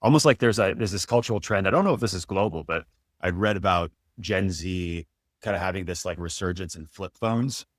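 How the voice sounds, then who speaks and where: A man's voice: sits low, fairly quick, no echo, studio quality. A man hosting an interview in a casual, conversational style, with thoughtful, hedged phrasing. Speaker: interview host.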